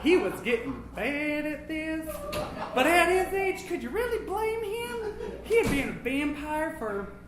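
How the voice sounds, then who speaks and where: A man's voice: very high-pitched, big pitch swings, measured pace, heavily acted. A man voicing a vain, absent-minded old vampire, reading a comic storybook aloud in a melodramatic, pompous, campy manner. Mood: fussy, musing. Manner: melodramatic, pompous, campy, hushed then suddenly loud. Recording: echoey room, noisy live recording.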